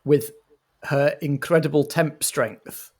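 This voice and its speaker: A man's voice: a little high, slow pace, darkish tone, breathy edge. A man narrating an improvised audio drama as its game master.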